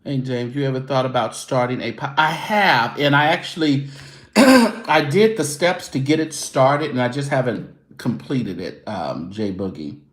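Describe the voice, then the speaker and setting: Guy hosting his show, chatting loose with viewers, slow and lively, roomy echo. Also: mid-range voice. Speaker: show host. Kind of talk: casual livestream chat.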